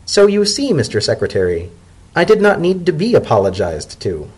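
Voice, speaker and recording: A man voicing an elderly female zebra scholar-diplomat in a storybook reading, genteel, shrewd and indulgent, with measured, formal, gently wry speech. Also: fairly high pitch, wildly swooping pitch, slightly rough, voice pushed up, heavily acted. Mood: cool, dismissive.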